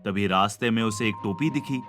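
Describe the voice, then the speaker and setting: A man's voice: on the low side, brisk, a bit bright. A man reading scripted narration for a cartoon story. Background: background music.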